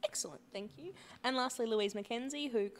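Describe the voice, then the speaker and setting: A woman hosting a stage panel, introducing guests with brisk, dry teasing. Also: fairly high pitch. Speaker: host, moderator. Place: theatre stage before an audience. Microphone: stand microphone.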